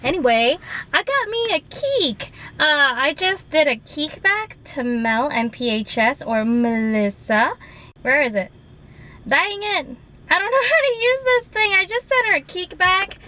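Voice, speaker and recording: A woman in her twenties with a raspy voice from a fading cold, chatting casually to the camera from inside her car, rambling with lots of fillers. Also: high voice, expressive, a little slow, pushed and bright. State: raspy voice while getting over an illness. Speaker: vlogger. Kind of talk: casual vlog chat. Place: inside a car at a drive-thru. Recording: car noise in the background.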